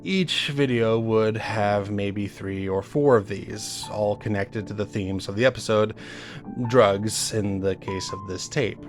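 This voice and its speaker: Male horror narrator, fairly low register, conversational first-person retelling with halting, reminiscing delivery over faint music. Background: music under the voice.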